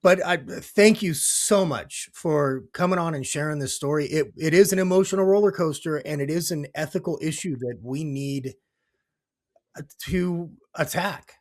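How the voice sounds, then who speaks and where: A man's voice: a little high, moderate pace. A man hosting a podcast in an earnest, conversational style, with a slightly scratchy voice and an emphatic way of stacking repeated phrases. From United States. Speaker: podcast host.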